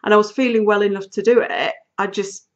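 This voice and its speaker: A woman's voice: medium pitch, steady tone, fairly quick. Casual woman host, sniffly with a cold, rambling chat, slightly muffled home audio. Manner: chatty, rambling, with frequent ums and laughs. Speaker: podcast host. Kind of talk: casual knitting video podcast chat.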